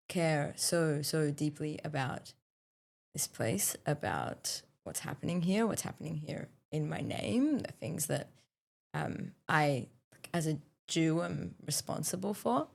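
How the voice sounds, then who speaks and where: A young woman, co-hosting a podcast and talking thoughtfully with many pauses and restarts. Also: low-pitched, creaky. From South Africa, raised in Australia. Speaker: podcast co-host. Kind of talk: casual podcast conversation.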